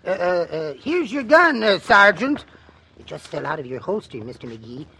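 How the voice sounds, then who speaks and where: A timid, fussy little man from fifties radio comedy. The voice is high and husky, hesitant and meek, with very lively swoops. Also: normal speed, full character voice. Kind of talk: 1950s American radio comedy acting.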